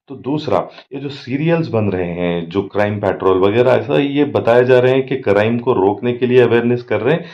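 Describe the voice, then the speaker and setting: An Indian man giving crime commentary as an advocate and host, mixing in many English words. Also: medium pitch. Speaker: advocate and social activist, channel host. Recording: dull lo-fi microphone.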